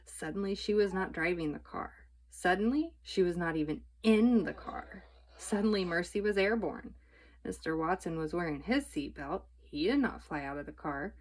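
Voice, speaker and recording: A woman's voice: fairly low pitch, lively intonation, normal speed. A woman narrating a children's book as a storytime reader.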